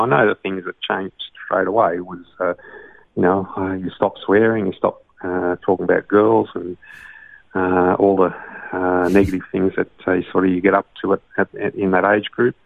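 Australian man with a gravelly, tinny-line voice. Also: sits low, a little fast, no room sound. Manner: hesitant, many uh fillers. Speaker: guest, doctor and songwriter. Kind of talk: casual interview. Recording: dry tinny phone-like line.

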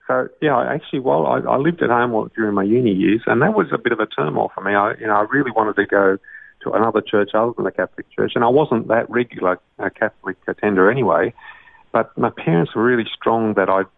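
Australian man with a gravelly, tinny-line voice. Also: fairly low pitch, fast talker, no room sound. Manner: hesitant, many uh fillers. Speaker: guest, doctor and songwriter. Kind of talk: casual interview. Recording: dry tinny phone-like line.

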